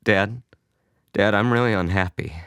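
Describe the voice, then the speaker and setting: A male narrator plays an unhappy grown son in an audiobook drama. He sounds fragile and exasperated, pleading and sighing, with pitch that moves widely. The recording is dry and close. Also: big pitch swings, moderate pace, a bit bright, no room sound. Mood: sad.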